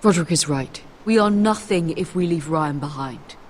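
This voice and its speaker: A woman's voice: low-pitched, normal speed, natural voice. An actress plays a protective noblewoman in a fantasy game drama. The speech is anxious, earnest and emphatic, over a faint background noise. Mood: anxious, pleading. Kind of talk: video game drama acting.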